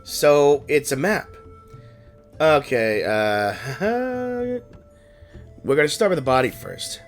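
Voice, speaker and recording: A man's voice: on the high side, very animated, takes it slowly. A man giving casual gameplay commentary, muttering and thinking aloud as he plays. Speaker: gaming streamer. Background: game music.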